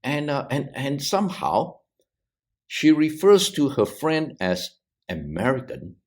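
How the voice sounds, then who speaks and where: A middle-aged man from Malaysia, a theologian on a discussion podcast, talking in a halting way with frequent restarts and repeated words. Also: medium pitch, a little mellow. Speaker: theologian panelist. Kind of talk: thoughtful discussion on a video podcast.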